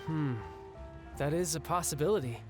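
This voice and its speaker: A man's voice: fairly high pitch, moderate pace, music in the background, close to an everyday voice. A man voicing a young, self-assured, teasing fighter in a video game, casual yet dangerous. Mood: reflective. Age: young adult. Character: breezy, cocky young male fighter, playful yet dangerous.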